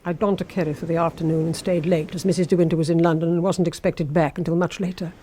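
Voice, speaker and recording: A woman's voice: lowish voice, level tone, fast, dark tone, a little hiss or hum, close to an everyday voice. An actress playing a sinister, obsessive housekeeper in a radio drama, insinuating and intimate, lingering on her phrases. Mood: brooding.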